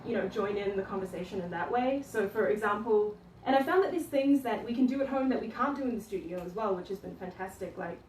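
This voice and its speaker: A woman's voice: medium pitch, lively intonation, quick pace, few pauses, a little mellow, distant mic, slight background noise. Hesitant woman from New Zealand, echoey room. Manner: hesitant, many ums and restarts. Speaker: art teacher giving a studio tour. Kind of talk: casual livestream chat. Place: her art studio, indoors.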